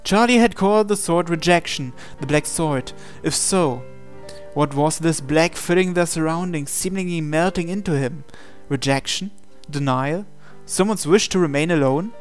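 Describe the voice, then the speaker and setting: A man reading scripted, dramatic story narration in a measured, solemn way. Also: on the high side. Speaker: narrator reading translated game text. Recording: music behind the voice. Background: music.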